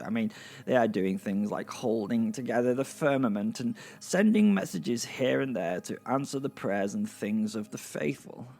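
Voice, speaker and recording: A man's voice: on the low side, gravelly, heavily acted. A man playing a nervous, self-important gate attendant of heaven in a comic fantasy audio drama, with a hesitant, stammering delivery. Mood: officious, fussy. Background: music.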